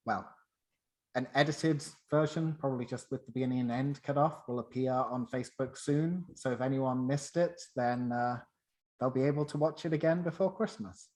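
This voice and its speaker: A man's voice: mid-range voice, moderate pace, darkish tone, poor audio. A man co-presenting an event, speaking in an informal, conversational way.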